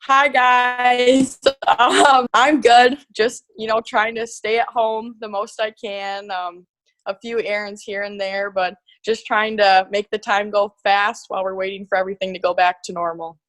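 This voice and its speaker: A woman's voice: mid-range voice, fairly even intonation, measured pace, fairly bright tone, no echo, poor audio. A woman of about twenty with an American accent, chatting casually as a college athlete guest. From Minnesota, United States. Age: around 20. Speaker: guest, college tennis player. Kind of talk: casual chat.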